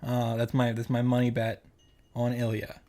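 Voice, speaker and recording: A man's voice: mid-range voice, completely flat delivery, moderate pace, dark tone. A man giving a casual recap and reaction commentary, with exasperated asides, as host of a visual novel playthrough. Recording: music behind the voice. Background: game music.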